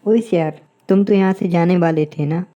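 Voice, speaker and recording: A woman plays a caring cartoon bird with a very soft, dark tone and a lowish pitch. She is helpful and earnest, quick to worry about others. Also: highs cut off, natural voice. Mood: suspicious. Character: kind, helpful young female bird, earnest and concerned. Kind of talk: Hindi moral-story cartoon dubbing.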